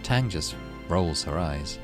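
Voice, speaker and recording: A man with a low voice and lively intonation narrates a game's story. He slips between expressive reading and offhand let's play commentary, with faint music behind him. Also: slow pace. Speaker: gaming channel host.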